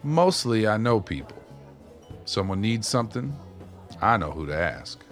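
A man playing a dapper, genial old fixer in a radio play, with courtly, folksy, unhurried phrasing. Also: on the low side, very animated, faint music behind. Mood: easygoing, wry. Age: older.

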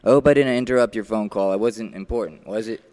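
Man acting brisk menacing doctor, reverberant hall. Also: fairly low pitch, darkish tone, lightly acted. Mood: coolly courteous. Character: brisk, insistent, outwardly courteous doctor, subtly menacing. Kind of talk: 1940s radio play acting.

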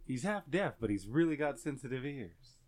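A man with a loud, joking voice riffs and rambles through a casual podcast chat. His voice sits in the middle range, and the room around him rings with echo. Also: medium pitch, big pitch swings, normal speed. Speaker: podcast co-host. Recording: echoey room.

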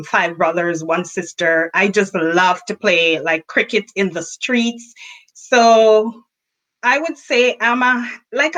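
A woman's voice: medium pitch, a bit bright, low-quality recording. A woman from rural Jamaica with a Jamaican accent, chatting casually and cheerfully as an interview guest. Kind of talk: casual chat.

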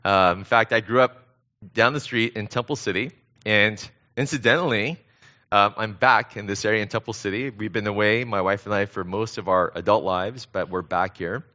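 Casting: middle-aged American man, guest preacher, earnest and halting, lowish pitch with a forceful, bright edge. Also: fairly even intonation, lo-fi sound. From born in Korea, grew up in Southern California. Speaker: guest pastor. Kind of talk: conversational sermon.

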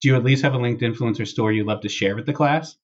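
A man's voice: medium pitch, racing, slight background noise. A man hosting a casual comedy podcast with playful, exaggerated banter. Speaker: podcast host. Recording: dull, band-limited audio.

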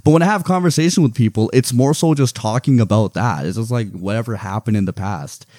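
A man in his twenties co-hosting a casual podcast, playful, swinging between loud excitement and low mumbling. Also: a little fast, dry recording, studio quality. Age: 20s. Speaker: podcast co-host. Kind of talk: casual chat.

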